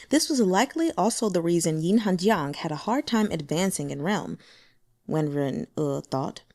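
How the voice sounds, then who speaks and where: A woman narrating a translated fantasy novel with expressive storytelling. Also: no room sound, studio quality. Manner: expressive storytelling, pitch moving with the scene. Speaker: audiobook narrator.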